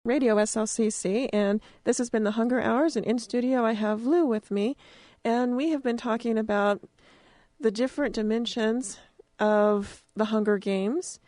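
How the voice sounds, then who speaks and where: A woman's voice: fairly high pitch, moderate pace, warm tone, no echo. Conversational American woman, dry studio sound. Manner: conversational, plain-spoken. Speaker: campus radio host, interviewer. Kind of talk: informal radio interview. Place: radio studio.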